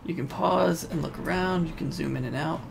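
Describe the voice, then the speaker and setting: A man's voice: fairly high pitch, brisk, vocal fry. A man giving casual, informal let's play commentary, rambling a little and thinking aloud as he explains things. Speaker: gaming video host. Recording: noisy voice-over over game ambience. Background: video game sounds and music.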